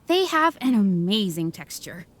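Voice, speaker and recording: A woman's voice: mid-range voice, sing-song, a little slow. A woman playing a polite, chirpy young restaurant waitress in a video game, courteous and salesy. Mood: polite, eager. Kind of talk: video game character acting.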